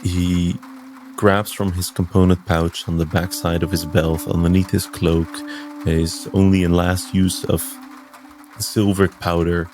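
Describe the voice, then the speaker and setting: A man playing a tabletop roleplaying game, describing his character's actions in a deliberate, halting way. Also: low voice, little pitch movement, a little mellow, soft background music. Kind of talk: improvised third-person narration of his character.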